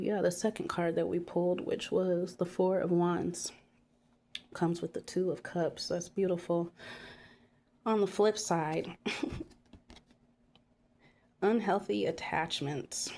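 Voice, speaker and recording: A woman with a stuffy nose from a cold, giving a calm, unhurried tarot card reading to her viewers with many pauses. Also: lowish voice, a little hiss or hum.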